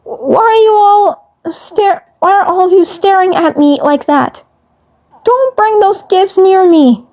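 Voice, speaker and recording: A woman voicing a terrified young cartoon boy who stammers and repeats frantic pleas in a shrill, strained voice. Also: takes it slowly, darkish tone, exaggerated voice. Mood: panicked, pleading.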